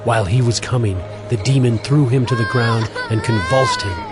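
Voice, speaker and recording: A man narrating scripture from a script as a measured storyteller. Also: mid-range voice. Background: music.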